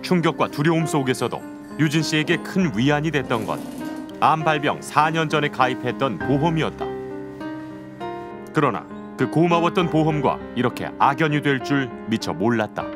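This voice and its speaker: Higher-pitched male documentary narrator over music. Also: animated, moderate pace. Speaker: investigative programme narrator and presenter.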